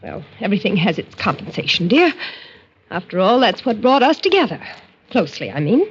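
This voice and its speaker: A woman's voice: medium pitch, very animated, brisk, a little hiss or hum, natural voice. An actress playing a devoted, anxious young bride who coaxes her husband with theatrical endearments, in 1940s radio drama style on a muffled old recording. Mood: soothing, worried.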